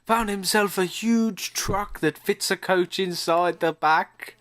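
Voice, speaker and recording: A man's voice: high voice, slow pace, fairly bright tone. A man co-hosting a podcast, narrating an improvised comic story and bantering casually.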